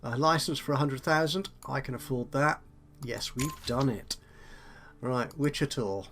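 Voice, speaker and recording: A man with a slightly croaky voice, chatting and thinking aloud while commentating on a management game. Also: medium pitch, a little slow, fairly bright tone, slight background noise. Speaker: let's play host. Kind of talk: casual gameplay commentary.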